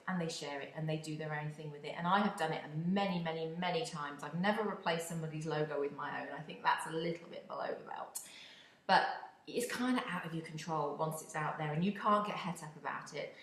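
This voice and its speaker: A woman from the UK with a British accent, hosting a solo podcast in a chatty, expressive way with wry asides. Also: fairly low pitch. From United Kingdom. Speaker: podcast host. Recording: echoey room.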